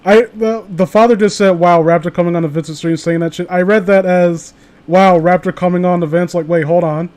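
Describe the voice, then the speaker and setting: Higher-voiced man hosting a sardonic, sweary stream. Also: steady tone, brisk, a little mellow. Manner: profane, sardonic. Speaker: livestream host. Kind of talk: casual chat with friends and viewers.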